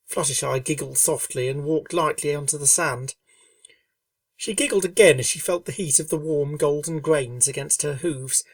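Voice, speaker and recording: Calm woman narrator for a storybook reading, low voice. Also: dry recording, far from the mic. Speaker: fanfiction reader.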